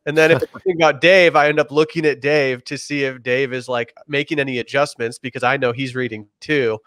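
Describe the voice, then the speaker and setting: A man's voice: medium pitch, fairly even intonation, quick pace, a bit bright, dry recording. A young man hosting a casual podcast over a video call from his home, laid-back and prone to stammered restarts. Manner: laid-back, repeats words when starting a thought. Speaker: podcast host. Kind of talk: casual chat. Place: home room, indoors.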